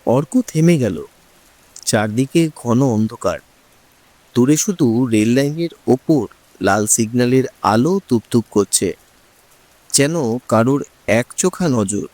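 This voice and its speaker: A man narrating a horror story in a suspenseful, drawn-out, dramatic way, as the show's host. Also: mid-range voice, lively intonation, a little mellow, no room sound.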